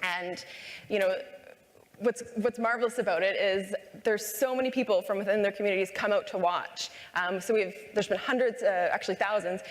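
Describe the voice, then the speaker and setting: A woman's voice: mid-range voice, normal speed. A woman giving earnest closing remarks on a conference panel, repeating words as she goes. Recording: long reverb of a large hall.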